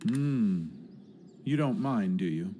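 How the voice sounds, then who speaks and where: A man playing a composed, polite and serious high school student in an English anime dub. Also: lively intonation, takes it slowly, a little hiss or hum. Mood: calm, grave. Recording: loud music under the voice.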